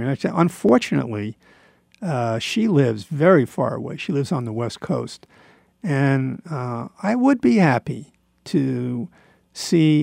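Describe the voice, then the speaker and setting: A man in his seventies talks through his thoughts in a gravelly, creaky voice at a middling pitch. His delivery is a halting, rambling personal monologue, reflective and self-deprecating. The recording is close and dry. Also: darkish tone, no room sound. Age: 70s.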